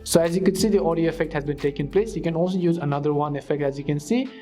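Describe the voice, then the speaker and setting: A man's voice: fairly high pitch, racing, mellow. A man presenting a step-by-step how-to tutorial as voice-over narration. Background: background music with guitar.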